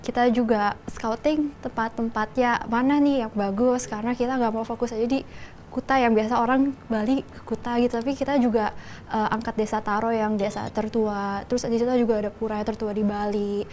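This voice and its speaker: Explaining woman with a higher voice. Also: fairly even intonation, a little fast, a little mellow, slight background noise. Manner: explanatory, mixes in English phrases. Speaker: executive producer. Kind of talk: casual interview chat.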